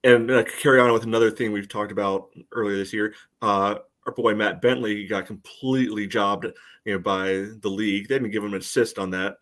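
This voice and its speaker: A man co-hosting a sports podcast, giving casual commentary in a halting, filler-heavy way. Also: on the low side, fairly even intonation, fairly bright tone. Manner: halting, peppered with "you know". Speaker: podcast co-host.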